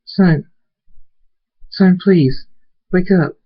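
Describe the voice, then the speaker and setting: A man's voice: high voice, very slow, lo-fi sound, voice pushed up, exaggerated voice. A man voicing a tender, grieving mother pony who pleads in a cartoon-based story. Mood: grieving, pleading.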